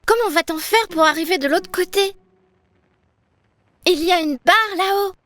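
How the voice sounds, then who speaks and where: A woman voicing a brave, curious little girl in a children's cartoon, eager and expressive as she explains things. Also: extremely high pitch, normal speed, dry recording.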